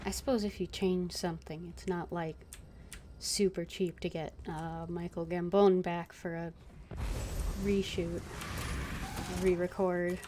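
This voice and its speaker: Casting: female game streamer, lowish voice, casual and murmury, faint game noise behind. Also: level tone, a little slow, warm tone. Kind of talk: casual live gameplay commentary.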